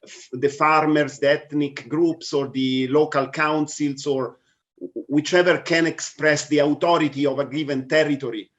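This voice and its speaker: Male moderator, slightly high voice, measured and formal, muffled lo-fi audio. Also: steady tone, fairly bright tone. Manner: measured, diplomatic phrasing. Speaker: roundtable moderator.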